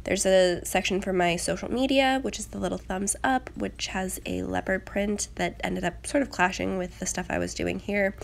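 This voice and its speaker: A young woman with a medium-pitched voice full of vocal fry and a slight rasp left over from a cold. She narrates casually in a chatty craft voice-over style, poking a little fun at herself. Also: steady tone, moderate pace, hardly any pauses. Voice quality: slightly raspy. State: getting over a rough cold. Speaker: planner channel host.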